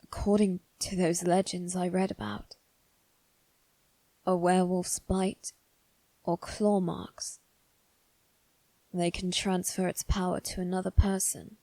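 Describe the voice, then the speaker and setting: A woman voicing a guarded, haughty young noble boy in a scripted ASMR roleplay, with measured, formal phrasing. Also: fairly low pitch, little pitch movement, warm tone, no echo. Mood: thoughtful, subdued. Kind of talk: ASMR roleplay, one-sided scripted dialogue.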